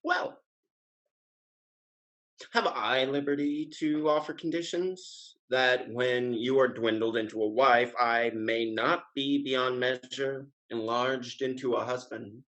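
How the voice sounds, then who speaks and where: A man's voice: medium pitch, fairly even intonation, slow pace, a bit bright, poor audio. A man playing a witty playboy suitor in a Restoration comedy, speaking in a wry, mock-formal, declamatory way. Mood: wry, bantering. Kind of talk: Restoration comedy stage dialogue.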